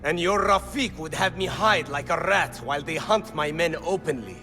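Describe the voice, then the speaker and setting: A man's voice: on the high side, expressive, normal speed, bright, forceful tone, faint music behind. An actor playing a proud male rebel leader in a game cutscene, defiant and fiery. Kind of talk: video game cutscene acting.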